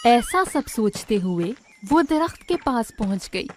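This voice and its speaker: A woman's voice: fairly high pitch, sing-song, a little slow, darkish tone, soft background music. A woman narrating a scripted moral story for children.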